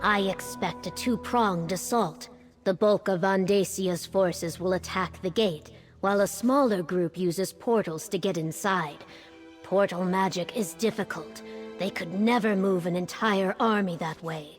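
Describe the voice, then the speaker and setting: A woman playing a solemn female military commander in a video game, formal and authoritative in a measured way. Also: medium pitch, soft background music, natural voice. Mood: grave, steady. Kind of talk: video game character acting.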